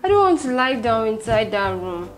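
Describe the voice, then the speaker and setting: A woman's voice: on the high side, big pitch swings, normal speed. A woman with a Nigerian accent playing a whiny, tearful, demanding pregnant young wife in a comedy skit. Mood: sulky. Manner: dramatic, tearful wailing and nagging.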